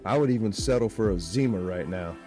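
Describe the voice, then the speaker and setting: A man's voice: fairly low pitch, expressive, a little fast, close to an everyday voice. A man playing an anxious, claustrophobic small-town deputy in an audio drama, plainspoken and grumbling. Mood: dry. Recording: music under the voice.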